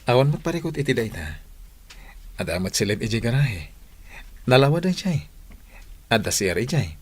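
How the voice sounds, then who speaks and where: Lively good-natured young husband acted by a man. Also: mid-range voice, normal speed, breathy edge. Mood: reassuring. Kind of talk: Ilocano radio drama acting.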